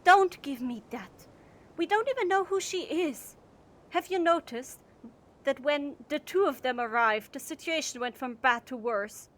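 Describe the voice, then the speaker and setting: A woman plays a high-voiced village gentlewoman, proud and reproachful. Her delivery is stern and clipped, in the manner of period audio drama. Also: lively intonation, a little slow. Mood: indignant.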